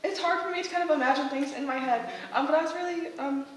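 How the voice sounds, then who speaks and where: Young female audience member. High voice, informal and tentative, recorded at a distance. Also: fast talker. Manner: hesitant, full of restarts and "like". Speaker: audience member giving feedback. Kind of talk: casual spoken feedback. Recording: very echoey room, distant mic, faint noise.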